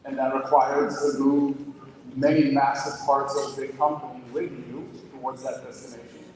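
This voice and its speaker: A man from Sweden with a Swedish accent, speaking earnestly as a company executive on a panel, often pausing with fillers. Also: mid-range voice, flat delivery. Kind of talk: panel discussion on stage. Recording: distant mic in an echoey hall with crowd.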